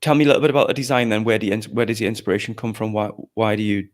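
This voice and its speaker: A man's voice: lowish voice, very fast. Encouraging male event host. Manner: encouraging, teasing, repeats and rephrases questions. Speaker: host interviewer at a live online showcase. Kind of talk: informal, upbeat event interview.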